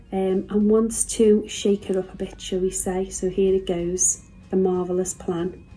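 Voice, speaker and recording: A woman's voice: mid-range voice, mellow, rough recording. A woman from a school's staff reading a children's storybook aloud with expression.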